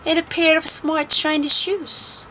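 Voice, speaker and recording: A woman reading a children's story aloud in an expressive, deliberate and animated way. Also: high-pitched, poor audio. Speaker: storybook reader. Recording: faint background noise.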